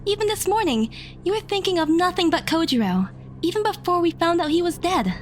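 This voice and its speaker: Woman playing a high, earnest, hopeful young girl. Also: lively intonation, fairly quick, slight background noise. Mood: earnest, insistent. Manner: sincere, gently insistent. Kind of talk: audio drama dialogue.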